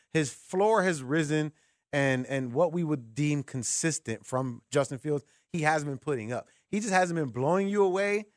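A man's voice: fairly high pitch, dry recording, clean studio sound. A man hosting a sports call-in radio show, thinking aloud in a conversational way and asking rhetorical questions. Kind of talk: sports call-in talk, opinionated commentary. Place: radio studio. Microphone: close studio microphone.

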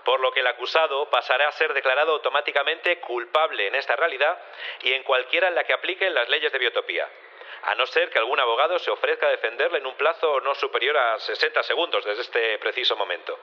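A man's voice: medium pitch, quick pace, lightly acted. Man playing a formal judge, muffled sound. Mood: formal, stern. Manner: formal, official, reading out rulings. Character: formal male judge presiding over a trial. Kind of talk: Spanish audio fiction acting.

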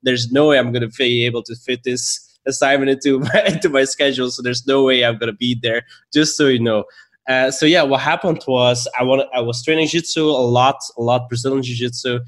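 A man's voice: mid-range voice, fairly even intonation, fairly quick, a bit bright. Young guy with a belgian accent, easygoing, laughs a lot, medium voice. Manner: friendly, laughs often, many fillers. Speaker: podcast guest. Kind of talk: casual interview storytelling.

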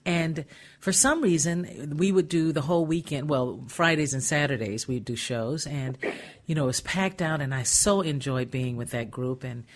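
A woman singer telling stories in a casual chat, lively and expressive. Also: sits low, normal speed. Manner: animated storyteller. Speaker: singer and co-host.

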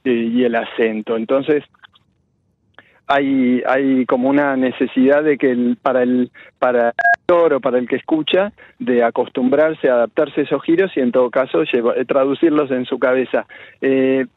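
A man with a Buenos Aires accent answers interview questions in a thoughtful, halting way, leaning on fillers as he restarts his sentences. His voice comes over a thin, phone-like line with no room sound. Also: mid-range voice, no room sound. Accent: Buenos Aires Spanish. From Argentina. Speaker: children's story author, guest. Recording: dry tinny phone-like line.

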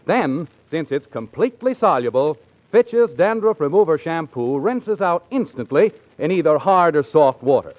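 An American man announces a commercial in 1940s radio style. His voice is fairly high and very animated in pitch, on a dull vintage recording. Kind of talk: 1940s radio advertising read.

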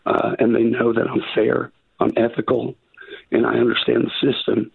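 A man with a raspy, husky voice that has gone gravelly from illness. He speaks over a thin, phone-like line as a call-in guest. He restarts his sentences often and talks in a halting way. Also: medium pitch, little pitch movement. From Arkansas. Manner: halting, many restarts and fillers. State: raspy voice after covid. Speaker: judicial candidate, phone guest.

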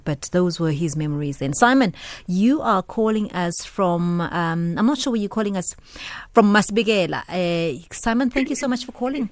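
Calm female radio host. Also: lowish voice, no room sound. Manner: calm and attentive, guiding callers. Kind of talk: radio talk show hosting.

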